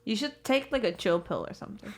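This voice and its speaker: A woman's voice: mid-range voice, animated, fast, a little hiss or hum. A young woman co-hosting a podcast in casual comedic banter, blunt and teasing, swearing freely. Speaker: podcast co-host.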